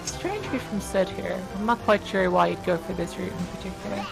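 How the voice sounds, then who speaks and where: A woman's voice: mid-range voice, brisk, vocal fry, over music. A woman giving casual, joking live commentary on a video game speedrun in a chatty, playfully mock-dramatic way. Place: live online stream commentary, game audio behind the voice.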